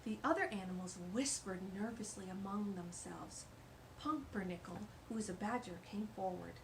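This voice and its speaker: A woman's voice: mid-range voice, normal speed, vocal fry, slight background noise, rough recording. A woman hosting a children's storytime, reading aloud expressively with exclamations and chatty asides. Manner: animated, exclamatory.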